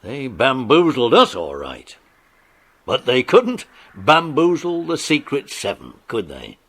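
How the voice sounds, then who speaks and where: An actor playing a hearty, authoritative police sergeant in an audiobook drama. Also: male voice, medium pitch, huge pitch swings, slow pace, a bit bright, full character voice. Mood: jovial, informative. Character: hearty, brisk police sergeant, grateful to child detectives. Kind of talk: audiobook radio-play dramatisation.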